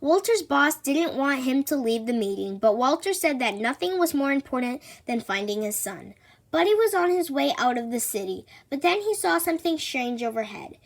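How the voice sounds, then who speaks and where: Female storybook reader, high-pitched, very lively intonation, children's read-aloud narration. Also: normal speed, creaky.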